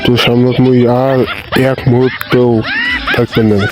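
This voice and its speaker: A man plays an indignant villager in a comic cartoon, with a mid-pitched voice. He nags and argues, repeating his complaints over loud music. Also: level tone, normal speed, lightly acted. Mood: accusing. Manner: scolding, repetitive, argumentative. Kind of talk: comic cartoon dialogue in rural dialect.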